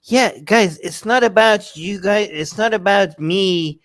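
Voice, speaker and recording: A man's voice: high-pitched, a little slow, fairly bright tone, dry recording, clean studio sound. A man co-hosting a live discussion, giving opinions emphatically, repeating himself and checking for agreement. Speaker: talk show co-host.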